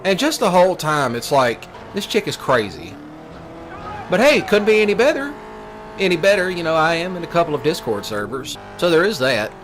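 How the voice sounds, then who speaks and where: A man's voice: a little high, big pitch swings, moderate pace. A young man with a Southern US accent rants and rambles casually over a let's play, in a colloquial, jokey, self-deprecating way. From Mississippi, US. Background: game music and vehicle sounds.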